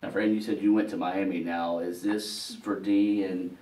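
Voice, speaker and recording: Guy hosting a chat show, lowish voice, folksy rambly questions, echoey room, far from mic. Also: steady tone, normal speed, dark tone. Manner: folksy, filler-heavy questions. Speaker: talk show host. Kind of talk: casual interview hosting. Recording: roomy, distant, faint hiss.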